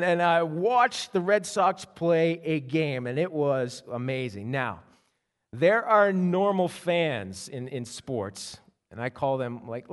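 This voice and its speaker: A man preaches from the pulpit, his voice sitting high for a man. He tells a story in an animated way, often stumbling and restarting. The sound rings with long reverb, as in a large church hall. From Canada. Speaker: pastor. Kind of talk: sermon.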